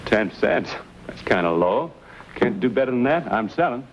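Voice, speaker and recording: A restless frontier trader in an old screen western, played by a male actor, speaks in a folksy, direct way at a middling man's pitch. He is brash but has a conscience. The vintage soundtrack carries clear noise. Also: very animated, normal speed, dull audio, close to an everyday voice. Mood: folksy, coaxing. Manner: plain-spoken, folksy. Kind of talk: 1950s western screen acting.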